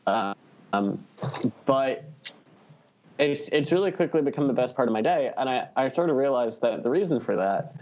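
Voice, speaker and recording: A man's voice: medium pitch, animated, moderate pace, long pauses, darkish tone, muffled recording. A man chatting casually as a podcast co-host, telling personal anecdotes in a wry, self-deprecating way.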